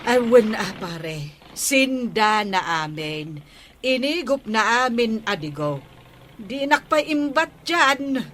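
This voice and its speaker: A woman's voice: on the high side, expressive, takes it slowly, fairly bright tone, slight background noise. A woman with an Ilocano accent playing a plain-spoken village neighbour in a comedic radio drama. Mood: complaining.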